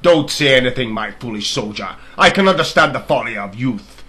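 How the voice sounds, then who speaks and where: A man voicing an evil, ruthless king in a storybook reading, pompous and deliberate, with cold menace. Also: medium pitch, animated, pushed and bright.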